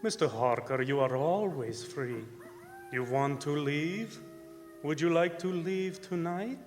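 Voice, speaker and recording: Radio-play vampire nobleman: higher-set voice, very deliberate, polite yet threatening, in a reverberant hall. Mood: courteous menace. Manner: slow, formal, deliberate. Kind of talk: old-time radio drama acting on stage. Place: performing arts center stage. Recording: echoing theatre hall, music behind.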